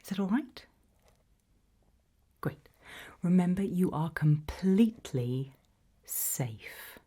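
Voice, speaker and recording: British woman, whispered ASMR roleplay, calm clinic practitioner, mellow tone, slow unhurried delivery, soothing and attentive. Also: on the low side. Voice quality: breathy, whispered. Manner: gentle, soothing, unhurried reassurance.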